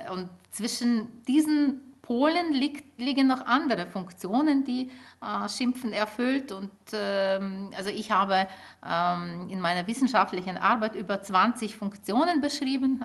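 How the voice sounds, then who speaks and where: A middle-aged woman, a native Ukrainian speaker, answering interview questions as a linguist in a measured, explanatory way with frequent fillers. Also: medium pitch. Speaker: linguist guest.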